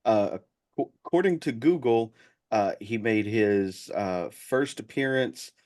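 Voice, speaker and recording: Man with very animated, swooping intonation. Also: on the low side, takes it slowly. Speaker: podcast host asking questions. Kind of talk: casual interview chat.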